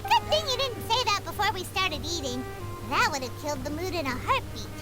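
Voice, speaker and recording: Casting: tiny earnest childlike game sidekick, extremely high squeaky pitch, animated delivery, music behind. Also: female voice, brisk. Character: small chirpy childlike floating companion, food-loving, earnest. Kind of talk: English game voice acting.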